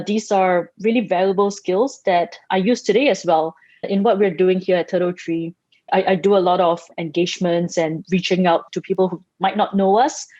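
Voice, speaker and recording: Woman guest founder from Singapore, measured delivery, dull lo-fi dry recording. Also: medium pitch, dark tone, dry recording. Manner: measured, with small restarts. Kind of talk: podcast interview. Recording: dry, muffled, lo-fi sound.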